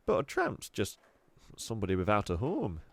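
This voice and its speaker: A man's voice: fairly low pitch, wildly swooping pitch, normal speed, gravelly, no room sound, heavily acted. A man voicing a jolly, chatty middle-aged male shopkeeper with eager salesman patter, in a dry recording. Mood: cheery salesy.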